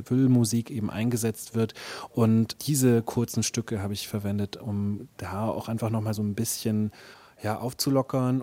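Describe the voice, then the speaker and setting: A man's voice: lowish voice, dark tone, no room sound. A man being interviewed as a musician and author, talking reflectively and often searching for the right words. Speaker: interview guest, musician and radio play author.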